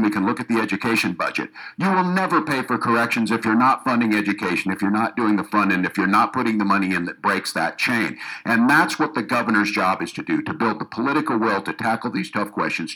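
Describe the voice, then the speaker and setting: A man's voice: on the low side, bright, forceful tone, rough recording. A man giving an earnest campaign speech to a room of educators, often restarting his sentences. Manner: earnest, repeats himself with restarts. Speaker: political candidate. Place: meeting room with audience.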